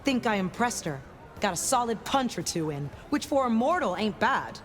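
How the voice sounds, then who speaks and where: A woman's voice: fairly high pitch, sing-song, moderate pace. An actress voicing a tough, cocky female vampire enforcer in a video game, brash and streetwise. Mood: wry storytelling.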